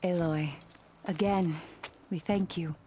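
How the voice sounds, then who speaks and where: Slow-spoken, dignified refugee woman. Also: lowish voice, steady tone. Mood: grateful, hesitant. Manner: formal, measured. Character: solemn, dignified female refugee standing her ground. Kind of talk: video game cutscene acting. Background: music.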